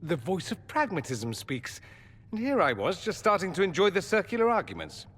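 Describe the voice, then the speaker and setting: An actor playing an urbane, sardonic foreign mage in a video game, with dry, theatrical wit. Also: male voice, high voice, wildly swooping pitch, moderate pace, a bit bright, husky, exaggerated voice. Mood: sardonic, amused. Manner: witty, dry, theatrical sarcasm. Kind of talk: video game dialogue acting.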